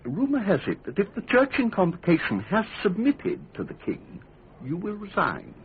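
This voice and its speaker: An actor playing a scheming foreign ambassador in a radio drama, formal, flattering and elaborately courteous. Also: male voice, sits high, moderate pace, slight background noise, heavily acted. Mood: insinuating, flattering. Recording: thin, phone-like lo-fi radio recording.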